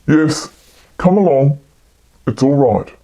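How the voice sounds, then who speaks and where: A woman voicing a calm, commanding male magician in a storybook, speaking in a deliberate, reassuring way. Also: deep voice, voice pushed down, exaggerated voice. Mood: calm, instructing.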